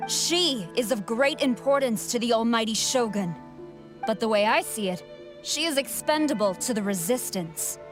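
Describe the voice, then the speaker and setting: A woman playing a cold, haughty general in a video game, speaking in a measured, imperious, formal way. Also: fairly high pitch, animated, music in the background, natural voice. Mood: cool, bargaining. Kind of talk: scripted video game dialogue.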